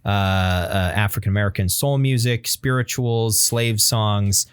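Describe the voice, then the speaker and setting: A man with a fairly low voice, recorded dry, talks casually as a podcast host. His delivery is halting and conversational, often pausing to hunt for the right word. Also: smooth, dry recording. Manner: halting, frequently searching for words. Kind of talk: casual music discussion.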